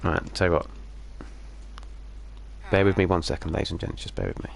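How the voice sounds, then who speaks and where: A man hosting a live game stream, croaky today and joking about himself in casual chat. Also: low-pitched, fairly quick. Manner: jokey, self-mocking, animated. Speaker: streamer host.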